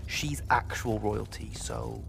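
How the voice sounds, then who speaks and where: A man's voice: fairly low pitch, takes it slowly, gravelly, a bit bright, a little hiss or hum, full character voice. An actor playing a witty, haughty male military mage in a video game, arch, wordy and sarcastic. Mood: arch, teasing. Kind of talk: video game cutscene acting.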